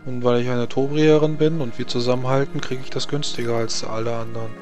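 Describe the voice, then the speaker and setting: A man's voice: mid-range voice, fairly even intonation, quick pace, over music, natural voice. A man voicing a stubborn, tired little girl in short, simple, insistent replies for a tabletop game. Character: stubborn, tired little girl addicted to a drink, dreaming of knighthood.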